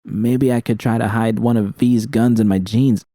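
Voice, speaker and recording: A man reading first-person fiction as an audiobook, with tense, expressive dramatic storytelling. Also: lowish voice, normal speed, no room sound, studio quality.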